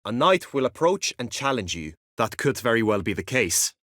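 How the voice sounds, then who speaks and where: An actor playing an earnest, lovesick, chivalrous knight in a video game, with formal, archaic courtly phrasing and an anxious streak. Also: male voice, mid-range voice, sing-song, normal speed, a bit bright, dry recording, studio quality. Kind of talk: video game character acting.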